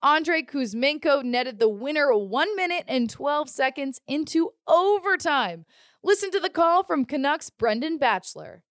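A woman's voice: high voice, lively intonation, unhurried, a bit bright, no room sound, clean studio sound. A woman hosting a sports podcast, reading scripted news and recaps. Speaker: podcast host.

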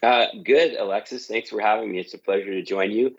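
A Canadian man talking in a relaxed interview, telling stories with lots of ums and uhs. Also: on the low side, brisk, highs cut off. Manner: relaxed storytelling with frequent fillers. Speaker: guest, TV sports director. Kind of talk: casual interview talk. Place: at home.

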